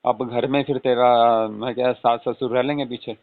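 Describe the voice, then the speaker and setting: A man's voice: medium pitch, fairly even intonation, moderate pace, close to an everyday voice. A man playing an indulgent middle-aged father, talking casually and coaxingly in a staged phone conversation. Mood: casual, explaining. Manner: coaxing, reassuring, repetitive. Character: soothing, indulgent father of a married daughter. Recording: tinny, narrow phone-call audio.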